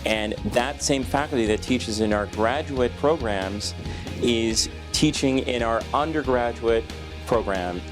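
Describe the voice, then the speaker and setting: A male faculty member making a brisk, persuasive case in an interview. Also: medium pitch. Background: guitar music.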